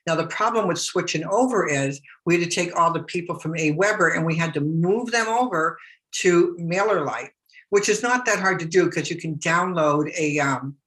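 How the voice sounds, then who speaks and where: A woman's voice: fairly low pitch, moderate pace, a bit bright, rough recording. A middle-aged woman talking through a screen-share tutorial in a chatty, repetitive way, full of fillers and restarts, while she is losing her voice. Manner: chatty, repeats herself, many fillers and restarts. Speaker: business blogger teaching online marketing.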